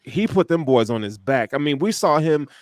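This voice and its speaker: A man's voice: on the high side, animated, normal speed, no room sound. Dry-sounding man in casual sports chat. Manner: slangy, emphatic. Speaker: sports podcast co-host.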